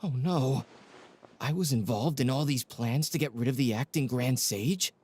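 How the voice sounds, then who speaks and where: A man's voice: mid-range voice, moderate pace, a little mellow, husky, lightly acted. A man voicing a guilt-stricken male follower in a game, anxious and apologetic.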